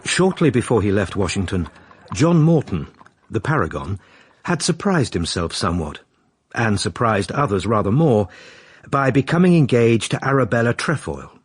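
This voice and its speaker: A man narrating a period radio drama in a wry, confiding, measured way. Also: fairly low pitch, big pitch swings, breathy edge, no echo. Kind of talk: scripted storytelling narration.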